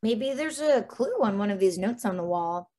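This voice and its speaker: Playful cartoon sidekick, played by a woman, wry and quippy with a soft, dark tone. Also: mid-range voice, animated, normal speed, lightly acted. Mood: helpful. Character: quippy, playful comic-relief adventurer. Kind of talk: animated fantasy table read.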